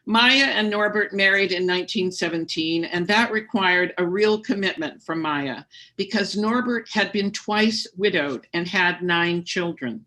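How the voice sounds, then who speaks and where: A lay speaker reading a historical tribute aloud during a worship service, in a measured way with brief personal asides. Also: mid-range voice, pushed and bright.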